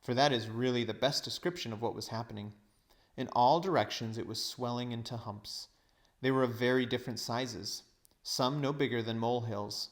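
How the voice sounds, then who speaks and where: A man with a medium-pitched voice narrates a children's storybook. His phrasing is deliberate and expressive, made for reading aloud.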